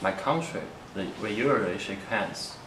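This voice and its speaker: Chinese guy, lowish voice, reading his lines from across a boomy room. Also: normal speed. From China. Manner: recites short prepared statements. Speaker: international student. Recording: echoey room from far off, noisy.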